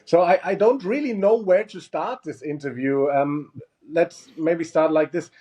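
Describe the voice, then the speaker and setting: A man's voice: on the high side, measured pace, mellow. A German man hosting an interview in English, asking friendly, hesitant questions. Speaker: interviewer, host. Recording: dull lo-fi sound.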